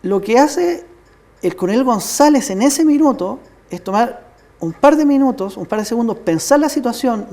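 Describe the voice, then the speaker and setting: A man's voice: very high-pitched, dark tone. A man with a Chilean accent giving an emphatic, explanatory historical account as an interview guest. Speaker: studio guest, military history commentator.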